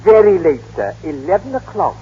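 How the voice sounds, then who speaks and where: A man's voice: high voice, big pitch swings, normal speed, dark tone, a little hiss or hum. A man playing a deferential butler in an old radio drama, speaking formally and politely. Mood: deferential, cautious. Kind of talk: 1930s-40s radio acting. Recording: muffled old radio broadcast, cavernous reverb.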